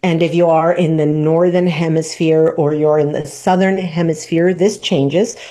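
A woman, hosting a podcast and teaching in an unhurried way, tagging her points with "right?". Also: deep voice, steady tone, mellow. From Argentina. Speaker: podcast host. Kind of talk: reflective teaching talk.

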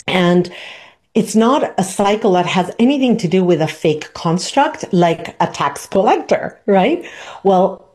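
A woman, hosting a podcast and teaching in an unhurried way, tagging her points with "right?". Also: fairly low pitch, a little mellow. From Argentina. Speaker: podcast host. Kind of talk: reflective teaching talk.

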